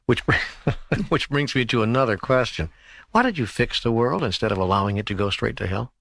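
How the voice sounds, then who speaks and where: A man playing an earnest, doubtful seeker in an audiobook dialogue, husky, conversational and incredulous. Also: medium pitch, animated, brisk, fairly bright tone, no echo. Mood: amused, exasperated.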